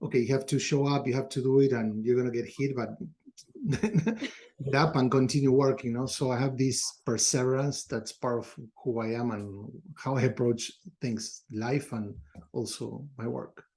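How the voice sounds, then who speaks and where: Argentine man with a mellow voice. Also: mid-range voice, steady tone, moderate pace. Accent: Argentine Spanish. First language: Spanish. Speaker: podcast guest, culture consultant. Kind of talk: conversational interview answers.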